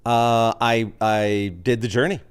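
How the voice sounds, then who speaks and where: A man's voice: medium pitch, little pitch movement, slow, smooth. A man, a podcast guest who chats casually and acts out the people he quotes while telling stories. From United States. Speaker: podcast guest, open mic organizer. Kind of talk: casual interview chat.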